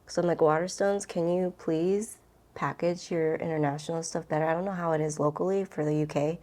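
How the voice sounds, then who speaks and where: Low-pitched woman chatting casually, gushing and informal. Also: fairly even intonation, fairly quick, dark tone. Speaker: book vlogger. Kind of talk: casual rambling chat to camera.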